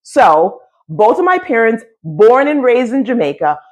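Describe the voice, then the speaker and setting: Slow, very animated American woman, high-pitched. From United States. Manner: emphatic and deliberate, with dramatic pauses and repetition. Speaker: business coach and host. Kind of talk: motivational coaching talk to camera.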